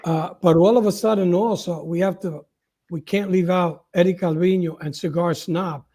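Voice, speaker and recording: A man's voice: high-pitched, fairly even intonation, moderate pace, dark tone, slightly rough. A man interviewing a guest, asking long, hesitant, rambling questions. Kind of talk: casual interview talk.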